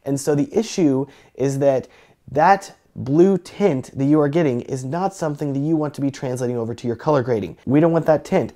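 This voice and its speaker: Fast-talking young man, a bit hoarse. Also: medium pitch, warm tone. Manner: joking, chatty, self-deprecating. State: slightly raspy from a cold. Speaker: filmmaker teaching color grading. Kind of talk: casual tutorial talk.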